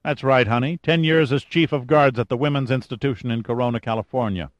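A man's voice: medium pitch, brisk, no room sound, muffled recording, pitched above the performer's own voice, natural voice. A man voicing a huge, brutal American woman who was a prison guard, harsh and full of contempt. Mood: gloating.

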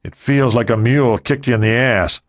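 A man's voice: medium pitch, normal speed, dry recording, studio quality, close to an everyday voice. A man voicing a confident, terse and wry team leader in an audiobook adventure. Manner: terse, wry, matter-of-fact.

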